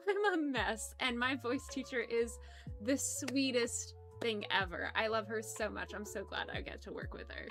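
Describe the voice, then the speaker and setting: Bright-voiced chatty young woman streamer. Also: a little high, measured pace, soft background music. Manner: chatty, rambling, stumbles over words; giggly, laughs often. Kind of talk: casual chat with live chat.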